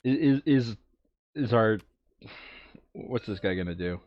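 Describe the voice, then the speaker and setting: A man's voice: on the low side, expressive, unhurried, no echo, dull audio. A man playing a board game, chatting casually and bluntly with some swearing and reading game text aloud. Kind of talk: casual game table chat, some read-aloud narration.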